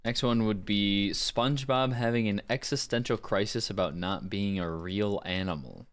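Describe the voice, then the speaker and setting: A man chatting casually on a live stream as a voice actor, low-key and thinking aloud. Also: moderate pace.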